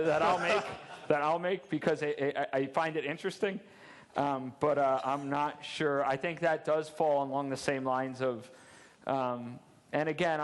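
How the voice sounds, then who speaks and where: A man in his thirties fielding audience questions at a convention talk on a headset mic, candid and joking, often restarting his words. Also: a little high. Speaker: security presenter at a game convention. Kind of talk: conference Q&A answers. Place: large dark convention stage.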